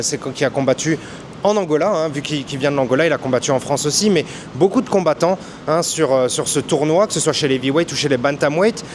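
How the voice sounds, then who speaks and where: A podcast host interviewing a fighter in a gym, building long winding questions full of hesitations. His voice sits slightly high, and a low rumble and faint noise hang in the background. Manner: rambling questions with many fillers. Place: boxing gym.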